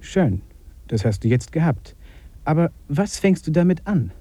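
Sceptical man of science, dark mid-range voice, dry close sound, logical, for vintage radio play. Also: fairly high pitch, sing-song, measured pace, slightly rough, no echo, full character voice. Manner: dry, logical, gently mocking. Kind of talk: 1950s German radio drama acting.

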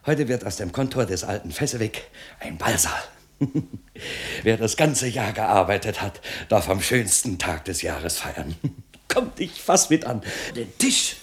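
A man's voice: fairly low pitch, very animated, a little slow. A man playing a jovial, generous old merchant boss with a hearty manner in a 1960s German radio drama. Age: older. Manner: hearty, chuckling, exclamatory.

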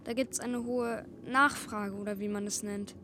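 An actress playing a timid poor child factory worker in a radio play, hesitant and pleading. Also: female voice, medium pitch, steady tone, unhurried, lightly acted. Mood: subdued. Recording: outdoor noise, vehicles behind.

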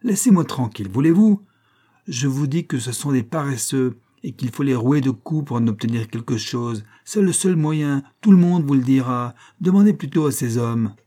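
A man's voice: mid-range voice, expressive, moderate pace, mellow, pitched above the performer's own voice, close to an everyday voice. A man voicing a scornful, hot-tempered young male sled driver in an adventure audiobook. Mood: irritated. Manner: curt, harsh, ironic.

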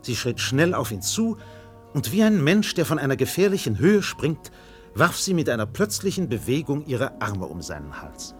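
A man as a grave literary narrator in a radio drama. He has a mid-pitched voice with wide, lively intonation, and music plays faintly behind him. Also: normal speed, close to an everyday voice. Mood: intense. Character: grave male literary narrator of a tropical tale.

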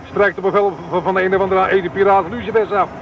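A sardonic detection-van operator. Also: male voice, high-pitched, flat delivery, a little fast, a little hiss or hum, poor audio. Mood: dry. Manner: dry, matter-of-fact, mocking. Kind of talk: radio play acting.

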